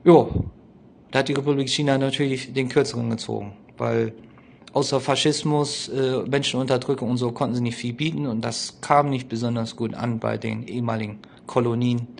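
Male, gravelly mid-range voice, casual opinionated history explainer, halting delivery, faint noise behind. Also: medium pitch, fairly even intonation. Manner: colloquial, opinionated, halting with frequent hesitations. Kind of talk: informal spoken history explanation.

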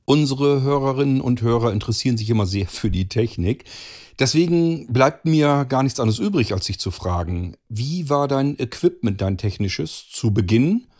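Male interviewer, average pitch, putting prepared questions conversationally to a guest. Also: animated, moderate pace, a little mellow.

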